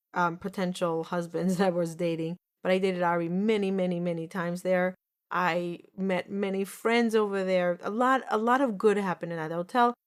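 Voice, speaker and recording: A woman's voice: fairly low pitch, clean tone. A woman in her forties telling a personal story in an earnest, repetitive way on a podcast, often restarting her sentences. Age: 40s. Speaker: podcast host.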